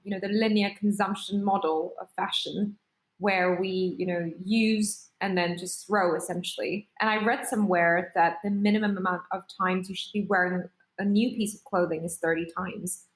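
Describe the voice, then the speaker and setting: A woman from Singapore, a startup founder, telling her story in a measured, articulate way in a podcast interview. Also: mid-range voice, fairly even intonation, echoey room, low-quality recording.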